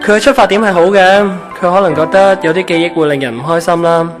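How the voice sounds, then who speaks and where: A man's voice: high voice, quick pace, close to an everyday voice. A man playing a kindly, easygoing ancient saint of love in a Hong Kong Cantonese radio drama. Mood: gentle, chatty. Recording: background music under the voice.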